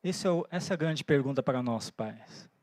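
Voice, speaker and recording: A pastor in his forties, born in Japan and raised in Brazil, preaching in an earnest way that pauses often for "né?" and thinks aloud. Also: medium pitch, lively intonation, a little mellow, no echo. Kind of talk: sermon. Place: church.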